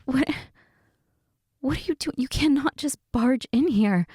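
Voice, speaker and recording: A woman playing a sick, flustered, reluctant middle-aged mother in an intimate audio roleplay, soft-spoken and halting, often slipping into a breathy whisper. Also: sits high, dry recording, clean studio sound, full character voice. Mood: startled, protesting.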